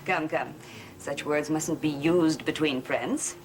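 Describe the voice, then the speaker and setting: A woman's voice: deep voice, slow pace. An actress playing a shrewd, composed woman in a gothic television soap, with a knowing, theatrical delivery. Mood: smooth, controlling. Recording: noisy old television soundtrack.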